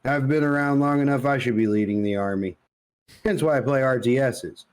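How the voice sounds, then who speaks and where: A man's voice: medium pitch, fairly quick. A man co-hosting a podcast in casual conversation, blunt and opinionated in the way he talks. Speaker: podcast co-host.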